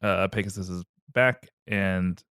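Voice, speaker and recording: A man's voice: sits low, slow pace, fairly bright tone, smooth, no echo, clean studio sound. A man hosting a comedy podcast, retelling a myth in a rambling, joking way. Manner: rambling, joking, filler-heavy. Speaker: podcast host, storyteller.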